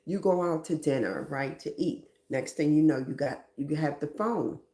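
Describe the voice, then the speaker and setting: A woman's voice: low voice, moderate pace, mellow, roomy sound. A woman hosting a live stream, giving reflective motivational talk and often asking "right?".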